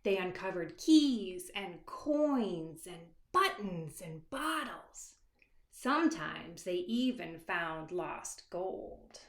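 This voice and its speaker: A woman author reading a children's picture book aloud expressively, with dramatic hushed moments and asides to young listeners. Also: lowish voice, very animated. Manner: dramatic, dropping to hushed asides and stretched words; pauses to address young listeners with questions. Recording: echoey, reverberant room.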